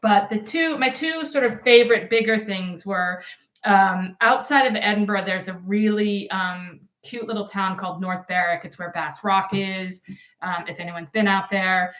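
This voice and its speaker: A woman's voice: mid-range voice, normal speed, fairly bright tone, reverberant. A middle-aged woman co-hosting a knitting podcast, talking casually with lots of ums and restarts, her voice a bit worn. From United States. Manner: chatty, rambling with many ums and restarts. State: losing her voice. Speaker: podcast co-host. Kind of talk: casual chat.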